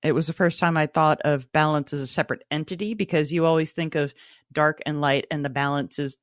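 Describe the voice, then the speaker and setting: A woman's voice: low voice, steady tone, a little fast, no echo, clean studio sound. A woman co-hosting a podcast, talking through her thoughts in a reflective, explanatory way. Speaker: podcast co-host. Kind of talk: casual book club discussion.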